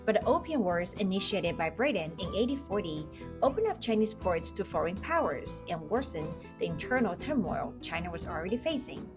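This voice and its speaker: A young woman host narrating a food documentary in a clear, even storytelling tone. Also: mid-range voice, fairly quick, over music. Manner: clear, even storytelling with brief mm-hmm cues. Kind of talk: scripted documentary narration and interviewing.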